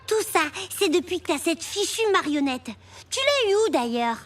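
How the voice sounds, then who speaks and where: Outspoken high-pitched cartoon girl. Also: sing-song, moderate pace, a little hiss or hum. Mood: accusing. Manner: blunt, accusing. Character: outspoken, indignant young schoolgirl in a cartoon. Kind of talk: French children's cartoon dubbing. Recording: music behind the voice.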